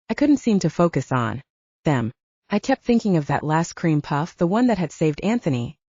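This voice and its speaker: A woman narrates an audiobook in the first person with a mellow, slightly low voice. Her intonation is lively and varied. The sound is very dry and a little dull. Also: no echo.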